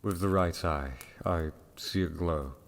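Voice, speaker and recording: A man playing a proud, brooding, jealous blind gentleman in a gothic romance audio drama, speaking in formal, intense, old-fashioned phrases. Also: low voice, measured pace, creaky. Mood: tender, melancholy. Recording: long hall-like reverb.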